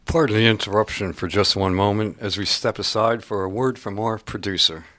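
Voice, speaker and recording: A man's voice: on the low side, steady tone, brisk, fairly bright tone, dry recording. A man announcing a radio theatre show with scripted narration.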